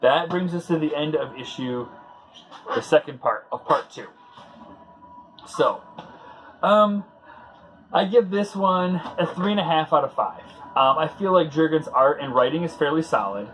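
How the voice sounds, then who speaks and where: A man's voice: a little high, a bit bright, a little hiss or hum, low-quality recording. A man hosting a comic book read-along, giving casual commentary in a rambling, conversational, fan-like way.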